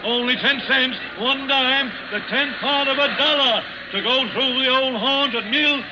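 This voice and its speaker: An actor playing a slangy, folksy carnival barker selling tickets in a 1940s radio drama. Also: male voice, extremely high pitch, measured pace. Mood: hawking, showy. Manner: folksy, slangy sales patter. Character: loud, cagey middle-aged male carnival ticket barker. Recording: noisy, muffled 1940s radio recording.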